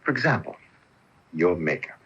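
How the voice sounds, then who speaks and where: Suave gentleman ghost: playfully knowing, theatrical flourishes, animated melody, radio drama, dull broadcast sound. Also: low-pitched, normal speed, husky, heavily acted. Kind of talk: radio mystery acting. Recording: dull off-air AM radio recording.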